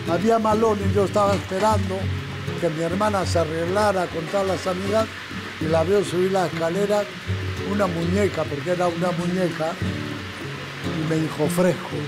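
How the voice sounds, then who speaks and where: A man's voice: high-pitched, fairly even intonation, normal speed, rough recording. An older man from Spain, a restaurant chef chatting and joking while he cooks in his kitchen. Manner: jovial, joking, storytelling. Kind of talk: casual chat while cooking. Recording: loud background music.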